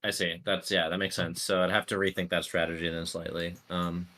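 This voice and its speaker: A man's voice: low voice, monotone, a little fast, bright, forceful tone. A man playing a tabletop roleplay game, talking casually and chummily as he plans moves. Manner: chummy, says mate often.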